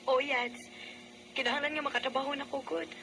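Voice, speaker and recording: A woman's voice: high-pitched, fairly even intonation, fairly quick. An actress playing a struggling young single mother in an emotive Cebuano Filipino radio drama, earnest and tender. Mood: pleading, determined. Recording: very noisy radio broadcast recording.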